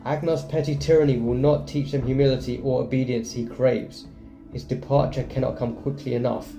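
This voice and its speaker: A male gaming host with a very dark, soft-edged voice at a medium pitch. He reads game text aloud and comments casually, in an echoey room with faint music behind him. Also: fairly even intonation, fairly quick.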